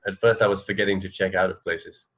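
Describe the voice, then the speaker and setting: A man's voice: low voice, fairly even intonation, quick pace, a bit bright. A man co-hosting a radio show in casual, easygoing, slightly hesitant chat. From England.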